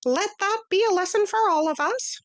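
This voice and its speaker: A woman voicing a tiny, pompous male mouse who acts as a brisk substitute teacher, lecturing in a crisp, bossy way. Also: extremely high pitch, voice pushed up. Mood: commanding. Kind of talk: storybook reading.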